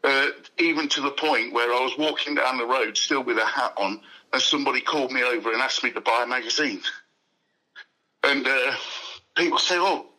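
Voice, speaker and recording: A man's voice: mid-range voice, normal speed, sharp tone, poor audio. A man who sells a street magazine, talking casually with hearty laughs and a rhyming sales patter. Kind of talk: casual storytelling.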